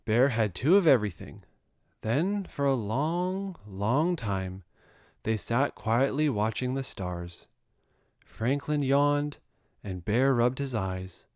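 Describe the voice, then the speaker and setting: A man reading a children's story aloud with expressive narration. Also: mid-range voice, slow, no echo, clean studio sound. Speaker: storybook reader.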